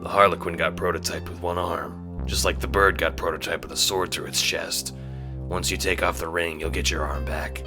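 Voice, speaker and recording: Man as a laid-back teasing henchman, low voice. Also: flat delivery, moderate pace, close to an everyday voice. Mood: reassuring. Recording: music under the voice.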